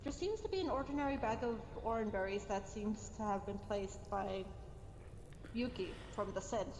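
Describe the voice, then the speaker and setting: A woman improvising as a guild member in an online roleplay, with a gentle, pleading manner. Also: medium pitch, moderate pace. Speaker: player acting a guild member. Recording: noisy, reverberant online voice chat.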